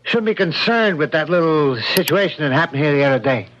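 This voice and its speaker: A muffled vintage radio recording of a slick crime boss. His voice sits fairly high, ingratiating and coaxing, with a threat underneath. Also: animated, a little fast, a bit bright. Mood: ingratiating, menacing. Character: smooth-talking, menacing male crime boss. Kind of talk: old-time radio drama acting. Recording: dull lo-fi radio drama recording.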